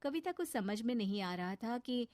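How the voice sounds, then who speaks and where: A woman's voice: a little high, expressive, normal speed, a little mellow, dry recording. A woman reading scripted story narration as the narrator.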